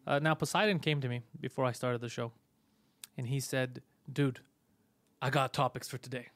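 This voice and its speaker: A man whose first language is Greek, hosting a podcast in a casual, crude chat, loud, sarcastic and mocking, swearing often. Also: medium pitch, fairly even intonation, moderate pace, no echo. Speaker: podcast host.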